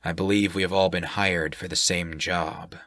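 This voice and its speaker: A man voicing a grim scaly male warrior who speaks in a low, quiet, curt tone. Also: steady tone, moderate pace, dry recording, studio quality.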